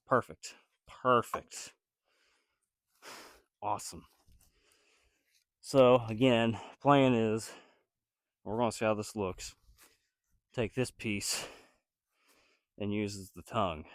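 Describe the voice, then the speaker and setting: A man with a slightly low, nasal voice from a cold, thinking aloud as he builds something outdoors. He rambles and hesitates and stops to find his words while chatting to his viewers. The sound is dry, with no room echo. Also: fairly even intonation, extremely slow, pauses often. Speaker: DIY builder vlogger. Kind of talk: casual self-talk while building.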